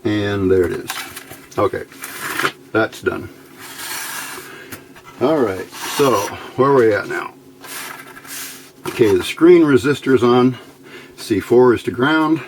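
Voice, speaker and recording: Male hobbyist instructor, gravelly, folksy, casual workbench walk-through, muttering as he goes, noisy recording. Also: medium pitch, slow, pauses often. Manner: folksy, muttering to himself while working. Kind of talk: casual step-by-step demonstration while working. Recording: clear background noise.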